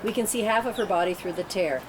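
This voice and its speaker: A woman's voice: mid-range voice, fast. A woman narrator reading screenplay stage directions as scripted narration at a table read. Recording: clear background noise.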